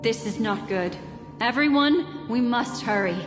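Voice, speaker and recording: An actress playing an elegant, composed keeper of memories in a video game, speaking in a measured, refined, enigmatic way. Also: female voice, on the high side, animated. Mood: urgent. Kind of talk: video game story acting. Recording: echoey long reverb, music behind.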